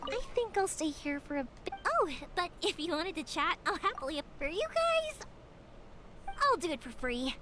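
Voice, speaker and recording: A woman's voice: very high voice, very animated, moderate pace, music in the background. A woman voicing a cheerful, earnest, big-sisterly young fireworks maker in a video game, bubbly and chatty. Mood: cheerful, friendly.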